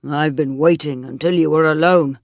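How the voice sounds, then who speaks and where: A man reading the part of a middle-aged, lovesick farmer in an audiobook, speaking slowly and earnestly. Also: fairly high pitch, steady tone, gravelly, no echo, studio quality. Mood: pleading. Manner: slow, earnest, pleading.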